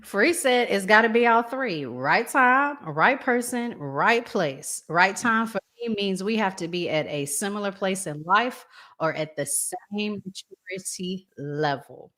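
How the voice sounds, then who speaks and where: A woman's voice: mid-range voice, expressive, unhurried, pushed and bright. A woman co-hosting a relationship podcast, talking in a candid, colloquial, conversational way. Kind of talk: casual conversational talk, reads out listener comments.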